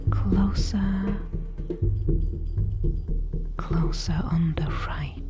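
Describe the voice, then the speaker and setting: A sinister spider-woman played by a woman whispers in a fantasy ASMR roleplay over clear background music. Her breathy delivery is extremely slow and deliberate, patient, coaxing and predatory. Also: on the low side, steady tone. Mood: urging, hushed.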